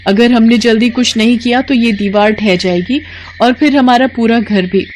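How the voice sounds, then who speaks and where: A woman voicing an earnest, resourceful young village daughter-in-law, eager and respectful, in an animated story. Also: fairly high pitch, normal speed, pitched above the performer's own voice, natural voice. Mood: anxious. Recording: noticeable background noise.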